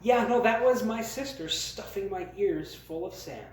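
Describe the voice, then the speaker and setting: A man telling humorous personal stories as a host, in a theatrical, mock-grand way with dramatic pauses. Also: sits high. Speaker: storyteller, host of a storytime series. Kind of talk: humorous personal storytelling to camera. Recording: very echoey, roomy sound.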